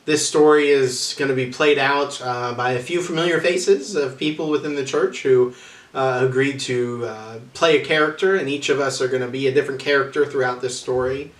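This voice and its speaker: A man speaking as a church host, giving a semi-informal, measured introduction to a reading, pausing mid-phrase. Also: medium pitch. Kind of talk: spoken welcome, semi-informal address. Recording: echoey room, distant mic.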